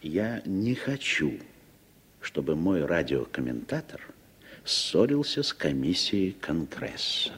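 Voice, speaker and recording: An actor playing a blustering, uneasy American businessman in a Soviet radio drama, husky and theatrical, hesitant and then emphatic. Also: sits low, very animated, takes it slowly, a bit bright, heavily acted. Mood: grave, warning.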